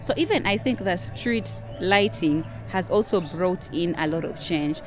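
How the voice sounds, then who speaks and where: A young Ugandan woman with a Ugandan English accent, hosting a casual vlog, chatty and leaning on "guys" a lot, with soft music behind her. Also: animated, a little slow. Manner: chatty, says "guys" constantly, drops to a low murmur on it. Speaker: vlogger. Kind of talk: casual talking-to-camera vlog.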